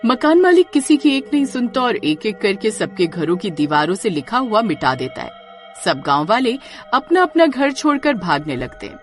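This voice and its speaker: A woman narrating a scripted horror story in a dramatic, expressive way. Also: medium pitch, moderate pace, faint music behind.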